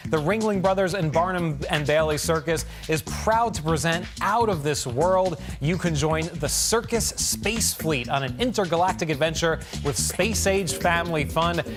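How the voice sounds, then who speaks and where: A man with a somewhat high-pitched voice hosts a trivia game. His delivery is upbeat and scripted, with the polish of a promotional announcer. Music plays clearly behind him. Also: normal speed, few pauses. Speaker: trivia game show host. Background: music bed.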